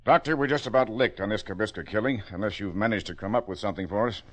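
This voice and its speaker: An actor plays a weary American police chief in a 1950s radio drama. The man speaks low, with gruff skepticism toward what he hears. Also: quick pace, lightly acted. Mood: skeptical, uneasy.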